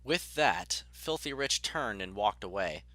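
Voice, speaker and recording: A man reading scripted story narration as an audiobook narrator, in a measured, articulate way. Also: fairly low pitch, very animated, sharp tone, no echo.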